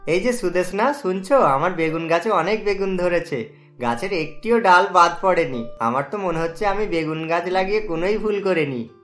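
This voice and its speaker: A man's voice: high voice, normal speed, a bit bright, faint music behind. A man voicing an earnest, hopeful poor village farmer in a cartoon. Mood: happy, hopeful.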